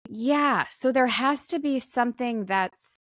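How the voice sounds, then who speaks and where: A woman's voice: on the high side, a little slow, fairly bright tone, dry recording, clean studio sound. A woman co-hosting a talk podcast, chatting casually and jokingly about the news. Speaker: podcast co-host.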